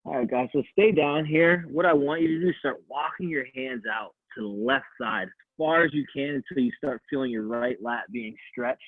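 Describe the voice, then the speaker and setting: A man coaching an at-home stretching class, calmly counting down holds and talking in a soothing voice. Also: mid-range voice, normal speed, hardly any pauses, dry recording. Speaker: fitness coach. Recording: tinny, dry phone-like audio.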